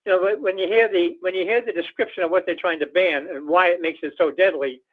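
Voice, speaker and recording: Tinny phone line, quick higher-voiced male caller. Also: steady tone. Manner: rambling, folksy, leans on filler phrases. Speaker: caller to a radio show. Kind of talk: casual political phone-in chat. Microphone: telephone.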